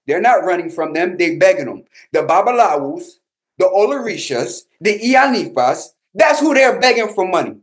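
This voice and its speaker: A high-voiced American man hosting a reaction rant. He talks in a casual, slangy style and drives his points home with combative emphasis and repetition. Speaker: host, babalawo commentator.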